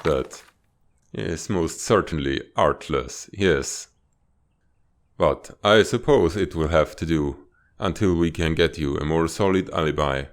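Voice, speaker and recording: A man voicing a stern reptilian spymaster in a comic dub, speaking in a formal, measured, authoritative way. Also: rumbling low, full character voice. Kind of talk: dramatic fan-dub comic acting.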